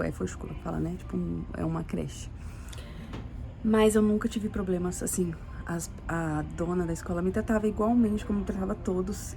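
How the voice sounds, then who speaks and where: Chatty Brazilian woman with a gravelly voice. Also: on the low side, darkish tone. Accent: Brazilian Portuguese. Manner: chatty, rambling, with many restarts and tag questions. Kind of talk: casual personal storytelling. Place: living in Sweden.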